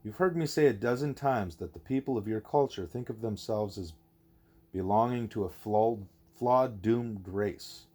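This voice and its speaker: A man voicing a wise, patient male teacher in an audiobook, speaking in a deliberate, formal, explanatory way. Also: on the low side, darkish tone. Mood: grave, explanatory. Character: wise, patient teacher creature Ishmael, male, measured and didactic.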